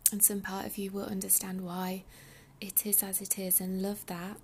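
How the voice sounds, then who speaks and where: A woman leading a guided meditation in a soothing, unhurried, breathy soft delivery with pauses. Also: mid-range voice, completely flat delivery, slight background noise. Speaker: meditation guide, yoga teacher.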